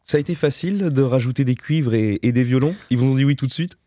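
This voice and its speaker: A man's voice: medium pitch, quick pace, dark tone. A man hosting a radio interview, asking long, self-correcting questions. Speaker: radio host.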